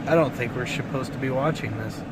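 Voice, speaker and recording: A man filming from inside a car, joking in an excitable, profane way and swinging between loud outbursts and low mutters. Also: a little fast. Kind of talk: casual joking commentary. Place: inside a vehicle. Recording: noisy car cabin recording.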